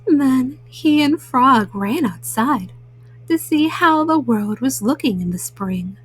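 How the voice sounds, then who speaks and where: A woman telling bedtime stories to small children in a gentle manner, as a storyteller. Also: high voice, animated, slow pace, slightly rough.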